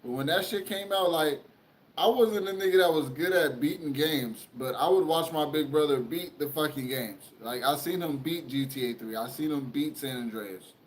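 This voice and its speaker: A man's voice: a little high, moderate pace, poor audio. Loose-talking young man, casual chat. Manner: loose, profane, rambling. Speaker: podcast host.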